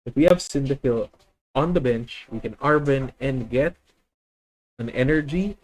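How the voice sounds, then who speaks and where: A man's voice: medium pitch, measured pace, poor audio. Filipino man thinking aloud over a card game. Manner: thinking aloud, methodical, self-correcting. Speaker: streamer. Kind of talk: live deck-test commentary.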